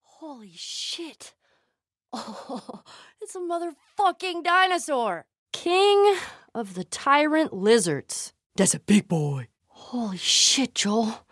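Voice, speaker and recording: A woman's voice: high voice, sing-song, slow pace, fairly bright tone, dry recording, clean studio sound, full character voice. A woman with an American accent acting a tough, foul-mouthed, sardonic young survivor in a video game, in a naturalistic style. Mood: awed, excited. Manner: sweary, muttering, sardonic.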